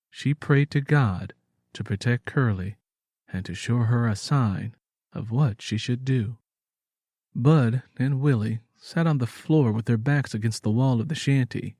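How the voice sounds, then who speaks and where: A man's voice: mid-range voice, a little mellow, no echo. A man reading scripted story narration in a solemn, dramatic manner.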